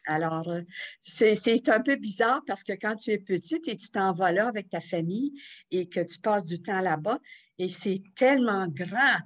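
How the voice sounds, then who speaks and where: A woman's voice: mid-range voice, animated, normal speed. Older woman reminiscing. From Cornwall, Ontario. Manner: warm storytelling with laughs and restarts. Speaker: interview guest, community association president. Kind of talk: casual remote interview.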